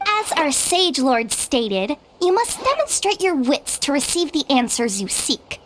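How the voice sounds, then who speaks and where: A woman's voice: high-pitched, big pitch swings, unhurried, background noise. A woman voicing a haughty talking mushroom in a video game, formal and condescending as it sets riddles.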